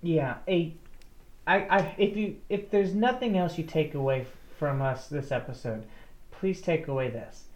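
A woman co-hosting a casual podcast about tabletop games, animated and laughing often as she talks. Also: deep voice, normal speed. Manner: laughs often, animated, restarts mid-sentence. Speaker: podcast co-host. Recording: roomy, dull lo-fi sound.

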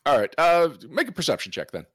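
A man's voice: on the high side, wildly swooping pitch, quick pace, fairly bright tone, no room sound, studio quality. A man with a Canadian accent running a tabletop game as game master, bantering and laughing often with his players.

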